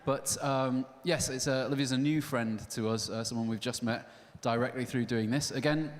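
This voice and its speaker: A man hosting a live event, talking haltingly with lots of ums and wry jokes. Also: mid-range voice, steady tone. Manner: hesitant, many fillers, joking. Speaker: event host. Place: live venue stage with audience. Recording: long hall reverb.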